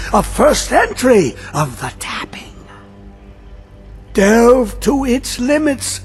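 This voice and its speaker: A man voicing a mad, obsessed old male scholar who talks in riddles and swings between high and low. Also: vocal fry, heavily acted. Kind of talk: video game voice acting. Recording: game audio with faint music.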